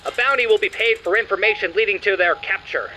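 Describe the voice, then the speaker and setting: An actor playing an urgent, formal 1920s radio newsreader delivering a bulletin with clipped emphasis. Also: male voice, high-pitched, quick pace, bright, forceful tone, low-quality recording. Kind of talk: old-time radio news bulletin. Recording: faint mechanical background noise.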